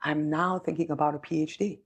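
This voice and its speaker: A woman's voice: deep voice, steady tone, brisk, slightly rough. A woman of sixty telling her story conversationally as an interview guest, earnest and repeating phrases for emphasis. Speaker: chief executive, podcast guest.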